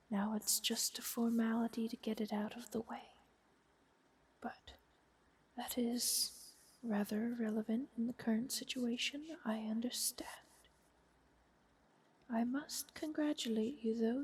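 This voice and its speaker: A woman voicing a refined, haughty ancient vampire countess in an ASMR roleplay, speaking in a soft, breathy half-whisper with poised, wry, formal phrasing. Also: a little high, full character voice. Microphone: close binaural microphone. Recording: close binaural mic with clear hiss.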